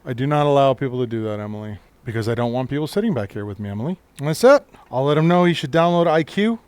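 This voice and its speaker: A deep-voiced man, a computer repair technician, talking through a live-streamed repair at his shop bench in a relaxed, chatty way and answering his chat. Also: fast, clear, resonant tone, no room sound.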